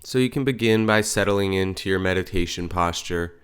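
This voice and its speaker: A man leading a guided meditation as a teacher, speaking in gentle, unhurried phrases with pauses. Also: low-pitched, clean tone.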